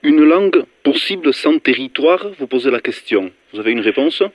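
A man's voice: medium pitch, animated, moderate pace. A man acting as interviewer, putting questions to a guest in a conversational style. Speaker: radio interviewer. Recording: dull lo-fi radio sound.

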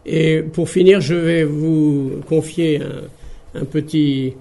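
A man from the Paris suburbs speaks at a public talk, with a gravelly edge and a slightly raised pitch. His delivery is measured and deliberate, reflective rather than showy. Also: little pitch movement, dark tone. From France, Paris suburbs. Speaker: speaker giving an evening talk. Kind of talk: public talk on bilingual education.

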